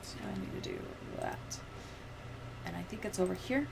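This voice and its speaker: A woman livestreamer chatting casually, rambling and thinking out loud as she goes. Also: on the low side, wildly swooping pitch, normal speed, vocal fry, background noise.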